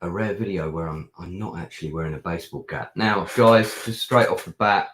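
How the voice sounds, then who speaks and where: A man with a croaky, ill-sounding voice, chatting casually through a film review with many pauses and fillers. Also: low-pitched, fairly bright tone. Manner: rambling with many ums and restarts. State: hoarse, ill with a sore throat. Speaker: film reviewer and channel host. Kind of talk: casual live-stream review chat. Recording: echoey room.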